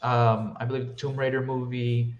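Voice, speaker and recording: A man talks casually as a podcast co-host, in loose, slangy speech with an average-pitched voice. The recording is roomy and echoey, with a slightly dull tone. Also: flat delivery, normal speed, background noise. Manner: informal, peppered with slang. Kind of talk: casual film review chat.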